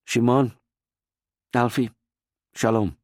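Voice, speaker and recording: A man's voice: sits low, normal speed, slightly rough, dry recording, clean studio sound, voice pushed up. A man voicing a grown eldest son who speaks in a concerned, affectionate way. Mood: worried. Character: grown male eldest son, worried, tender.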